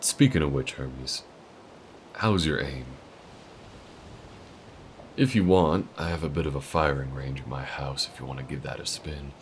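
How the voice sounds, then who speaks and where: A man voicing a calm male alien gunslinger in an audio roleplay, speaking to the listener in a slow, folksy drawl with a patient, protective manner. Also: rumbling low, slight background noise. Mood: patient instruction. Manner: patient, steady instructing.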